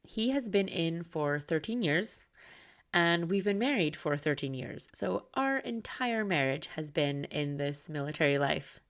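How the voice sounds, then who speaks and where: A woman's voice: sits low, expressive, measured pace. A woman in her thirties with a slight Scottish accent blended with American, hosting a podcast in an earnest, candid, conversational way. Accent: slight Scottish mixed with American. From Scotland. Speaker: podcast host. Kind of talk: personal podcast monologue.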